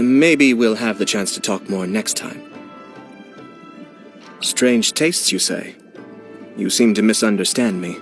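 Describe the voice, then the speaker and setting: A poised young clan head from a video game, speaking in a slightly low, measured tone. He is unfailingly polite, with a sly, teasing edge beneath the courtesy. Faint music plays softly behind him. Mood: wry teasing. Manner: polished, courteous, dryly amused. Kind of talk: video game character voicelines.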